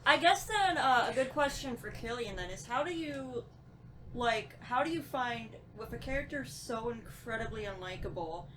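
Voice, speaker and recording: A woman with a fairly high voice chats casually on a podcast. She feels her way through questions in a hesitant, conversational manner, with faint noise in the room. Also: expressive, moderate pace, a bit bright, low-quality recording. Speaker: podcast host asking questions.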